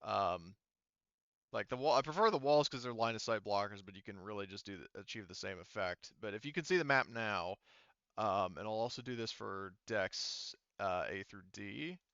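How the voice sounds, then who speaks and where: A man running a tabletop roleplaying game, explaining casually and thinking out loud as he goes, often repeating himself. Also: fairly low pitch, normal speed, clear, resonant tone, no echo, dull audio. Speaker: game master.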